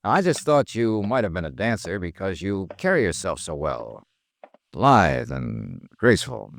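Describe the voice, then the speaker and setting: A wry 1950s radio private investigator with a low, gravelly voice. He is smooth and polite but keeps probing, his delivery swinging expressively. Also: very animated, dry recording, clean studio sound, heavily acted. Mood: smooth, casual. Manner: probing, polite, measured with deliberate hesitations. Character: smooth, wry male freelance insurance investigator. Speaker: lead actor and narrator. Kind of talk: 1950s radio detective acting.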